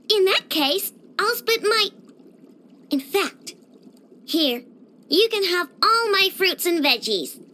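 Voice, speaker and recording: Casting: a tiny girl tinkerer for a game, very high and bright, childish, dramatic, bossy. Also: heavily acted. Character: excitable, sweet-toothed little girl robot inventor. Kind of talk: video game character voice lines.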